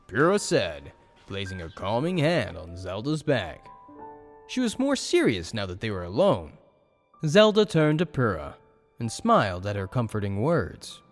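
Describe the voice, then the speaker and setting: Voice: medium pitch, huge pitch swings, unhurried, faint music behind. A narrator reading a scripted story for an audio drama in storybook style.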